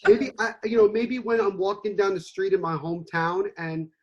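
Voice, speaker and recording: Husky young man telling stories. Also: sits high, moderate pace, lo-fi sound. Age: 20s. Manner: animated storyteller, acts out quotes. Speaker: podcast co-host. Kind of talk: casual chat.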